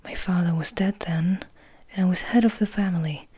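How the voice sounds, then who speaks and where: Breathy woman whispering an ASMR story. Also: on the low side, fairly even intonation. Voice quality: breathy, mostly unvoiced whisper. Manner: gentle, unhurried storytelling. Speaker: ASMR host and reader. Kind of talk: soft whispered ASMR audiobook reading.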